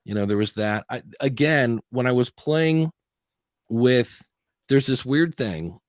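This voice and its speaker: A man's voice: mid-range voice, expressive, slow pace, dry recording, clean studio sound. Chatty man rambling about gear. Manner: rambling, restarts and self-quotes. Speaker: podcast host. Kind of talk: casual tech chat. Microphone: studio dynamic mic.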